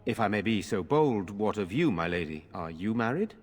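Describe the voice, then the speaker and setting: Earnest fantasy-game nobleman defending his village, low husky voice, formal and gallant, long reverb. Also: very animated, moderate pace. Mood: shyly flirtatious. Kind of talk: fantasy video game dialogue.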